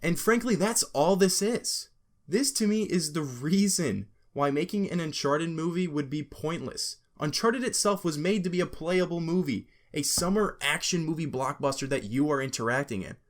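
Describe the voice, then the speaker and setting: Emphatic man with a higher voice. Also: animated, moderate pace. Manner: emphatic, informal, sarcastic asides. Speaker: video essay host. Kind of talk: opinionated scripted commentary.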